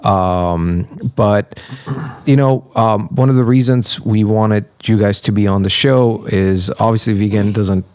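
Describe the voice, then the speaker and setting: Man, podcast host, low dry voice, conversational interviewing, halting phrasing. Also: steady tone, darkish tone, no room sound.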